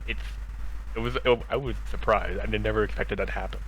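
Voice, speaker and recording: A man's voice: on the low side, fairly even intonation, quick pace, fairly bright tone, a little hiss or hum, rough recording. A man co-hosting a podcast, chatting casually with friends and often repeating words as he explains things. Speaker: podcast co-host.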